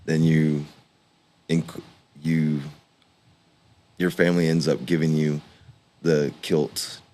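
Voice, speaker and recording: A man in his forties from Scotland, a podcast guest, talking in a halting, hesitant way with restarts. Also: extremely low pitch, level tone, darkish tone. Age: 40s. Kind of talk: casual interview conversation. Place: warm room with fans running.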